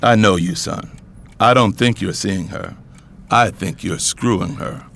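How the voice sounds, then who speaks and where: A man's voice: lowish voice, measured pace, gravelly, fairly bright tone, a little hiss or hum. A man playing a blunt, commanding middle-aged father and boss, stern and emphatic in an audio drama. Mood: stern, exasperated.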